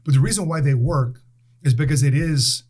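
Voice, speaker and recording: A man in his late forties, a guest in a casual interview, telling stories with animated emphasis and repeated phrases. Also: on the high side, moderate pace. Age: 40s.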